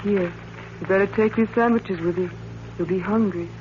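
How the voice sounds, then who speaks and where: An actress in a 1940s radio thriller playing a devoted, knowing, anxious wife, gentle and earnest. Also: female voice, medium pitch, steady tone, normal speed, lightly acted. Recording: muffled old radio broadcast, faint hum.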